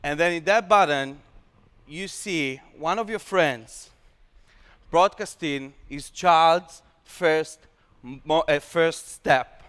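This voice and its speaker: A young Israeli man, a startup founder on stage, pitches with lively gestures and slightly broken English. His voice sits a bit high and sounds smooth and resonant. The sound carries a long reverb from a large hall. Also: takes it slowly. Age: young adult.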